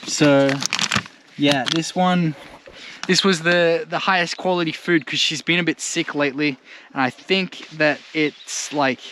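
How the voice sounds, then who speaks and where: A man's voice: fairly high pitch, fairly even intonation, unhurried, a bit bright. A man with an Australian accent chatting casually to viewers as a travel vlogger, in an informal, rambling, slangy way. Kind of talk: casual chat to camera. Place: outdoors in rural bush campsite. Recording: noisy outdoor recording with birdsong. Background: birds and background noise.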